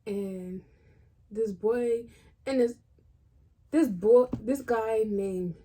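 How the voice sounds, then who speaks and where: A woman with a fairly high voice shares a personal story as a vlogger. She talks slowly and informally, in colloquial phrasing. Her style is a casual storytime chat. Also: warm tone.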